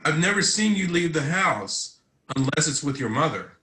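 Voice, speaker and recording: A man's voice: fairly high pitch, very animated, moderate pace, bright, forceful tone. Guy reading troubled blunt teen boy in a drama, echoey room. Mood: probing. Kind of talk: script table read. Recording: roomy echoing home recording.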